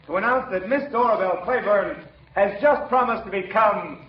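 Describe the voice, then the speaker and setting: An actor playing a proud, florid bandleader making a ceremonious announcement, in 1940s radio comedy style. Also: male voice, sits high, moderate pace, a crowd in the background, lightly acted. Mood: proud, gushing. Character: gushing bandleader from the South announcing grandly. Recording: echoey, noisy, dull old radio recording.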